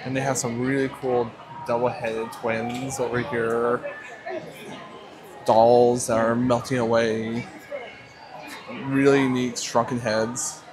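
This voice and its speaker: A man's voice: medium pitch, flat delivery, slow pace, pauses often. A man casually vlogging as he walks around an indoor expo, upbeat and easily delighted, with joking asides. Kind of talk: casual walkthrough vlog. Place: busy indoor expo hall. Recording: crowd chatter behind.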